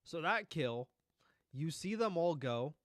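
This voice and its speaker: A man's voice: a little high, lively intonation, slow. A man streaming a review of esports matches in casual, blunt, profane live commentary, rambling with restarts while losing his voice.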